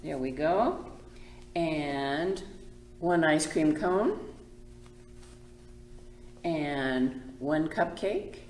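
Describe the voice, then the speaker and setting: Echoey, animated woman reading to children. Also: sits low, a little hiss or hum. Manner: expressive, simple phrasing for small children, drawn-out words. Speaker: children's librarian and storytime host. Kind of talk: children's storytime reading and teaching. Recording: echoey room.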